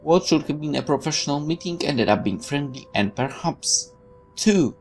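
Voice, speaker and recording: Animated man narrating a game playthrough. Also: on the high side, normal speed. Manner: expressive reading with stumbles. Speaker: gaming video host reading the game text aloud. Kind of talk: let's play narration with asides. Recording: music playing behind.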